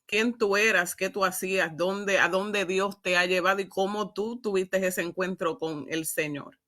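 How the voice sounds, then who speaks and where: A bright, forceful woman host of a church program, feeling her way through questions with frequent amens. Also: medium pitch, fairly even intonation. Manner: halting, punctuated with amens. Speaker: host interviewing a guest. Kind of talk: religious testimony interview.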